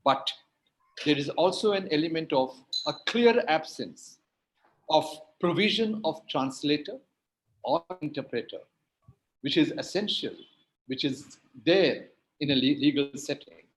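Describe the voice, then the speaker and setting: Hindi-urdu speaking guy giving a panel talk, slightly high voice, leans hard on repeated phrases. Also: expressive, rough recording. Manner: emphatic, rhetorical repetition, drawn-out stresses. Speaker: linguistics academic, panelist.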